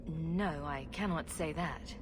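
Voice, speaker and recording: An actress playing a confident, sardonic young adventurer in a video game, speaking in a dry, direct way. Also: female voice, sits low, slow. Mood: serious, dry. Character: wry, confident young female adventurer hero. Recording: loud ambient noise behind.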